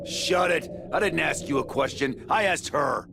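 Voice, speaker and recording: An actor with an American accent playing a tough, irritable warrior in an anime game, gravelly, blunt and curt. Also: male voice, a little high, normal speed, noisy background. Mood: confrontational.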